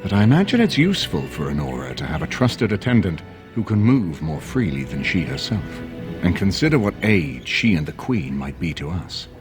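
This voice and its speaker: A formal older lord in a video game, deep-ish voice, really varied melody, music behind him, measured n persuasive. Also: male voice, sing-song. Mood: earnest, measured. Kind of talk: video game dialogue acting. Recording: game dialogue over background music.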